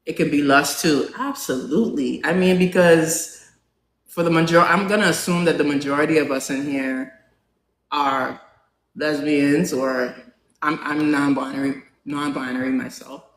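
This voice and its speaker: A non-binary host in their forties chatting casually with a live audience, asking them questions and filling pauses with "um". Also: medium pitch, steady tone. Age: 40s. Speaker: live talk show host. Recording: roomy echo, faint background hum.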